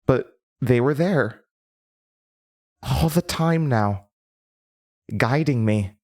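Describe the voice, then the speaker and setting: A man playing a troubled, trapped young woman, lonely and obsessive, in a halting, intense horror audio drama monologue. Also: mid-range voice. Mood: dazed, feverish. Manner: halting, stammering, brooding, intense.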